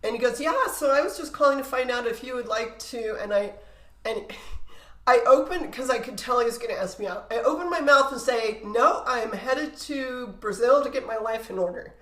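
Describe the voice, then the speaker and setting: Middle aged lady telling stories on a podcast, highish loud projecting voice, animated, kinda echoey room. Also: fairly quick. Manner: animated, laughing, acting out remembered conversations. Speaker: podcast guest, missionary. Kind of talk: casual personal storytelling.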